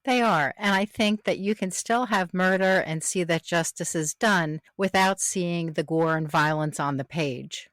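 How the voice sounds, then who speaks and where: A woman with a slightly low voice hosts a podcast interview. Her delivery is casual and conversational, and the sound is very dry, free of room reflections. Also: unhurried, few pauses, fairly bright tone, dry recording.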